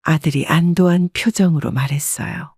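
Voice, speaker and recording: A woman narrating her own family story in the first person, calm and reflective. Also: deep voice, normal speed, breathy edge, dry recording, clean studio sound.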